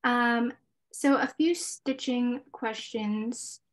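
A woman's voice: a little high, steady tone, extremely slow. A woman moderating a live online event, thanking the teacher and reading out viewer questions. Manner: friendly, reads out viewer questions.

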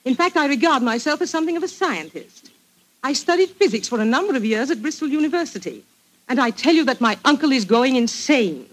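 A woman's voice: sits high, lively intonation, brisk, rough recording. An actress playing a clever, self-assured, forthright Englishwoman with a British accent in a 1940s radio drama. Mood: grave, urgent. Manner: crisp, forthright.

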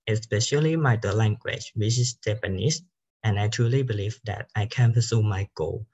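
A man's voice: lowish voice, a little mellow, husky, muffled recording. A man, a student giving prepared, formal interview answers over a video call. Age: 20s. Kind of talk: rehearsed job interview answers.

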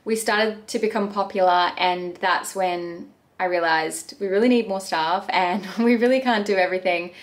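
A woman's voice: mid-range voice, normal speed. A woman in her thirties, a bakery owner, chatting earnestly to camera from her bedroom in a casual question-and-answer video. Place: bedroom at home.

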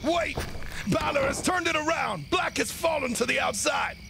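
An actor playing a gleeful, theatrical villain who commands monsters and gives melodramatic, sinister commentary on a wrestling match in a video game. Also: male voice, very high-pitched, gravelly, background noise. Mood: gloating, dramatic. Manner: melodramatic, sinister, cackling, drawn-out exclamations.

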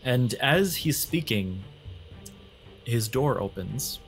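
A man's voice: mid-range voice, expressive, slow, vocal fry, faint music behind. A man narrating a tabletop role-playing game as the game master.

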